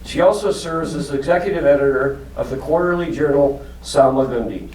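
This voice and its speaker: A man's voice: on the high side, brisk, darkish tone. Guy hosting, reads a formal intro, mic far away, lots of room echo. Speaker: host of a writers institute event. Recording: roomy, distant mic in a hall.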